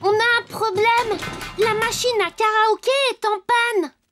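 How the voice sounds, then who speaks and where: Une femme joue un petit garçon pantin de bois, enjoué et serviable, au ton enfantin, dans un dessin animé. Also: female voice, extremely high pitch, fairly even intonation, a little slow, a bit bright. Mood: earnest, upbeat. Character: cheerful, helpful little wooden toy boy. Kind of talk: children's cartoon voice acting. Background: children's music.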